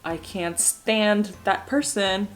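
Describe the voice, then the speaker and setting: A woman, a guest in a casual chat, relaxed and friendly. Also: medium pitch, slow pace, faint music behind. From France.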